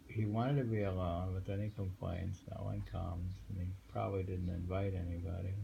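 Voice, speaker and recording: A man reading poems aloud and commenting on them informally in a halting, musing way. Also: sits low, gravelly, dark tone, slight background noise, low-quality recording. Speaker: poetry reader and commentator.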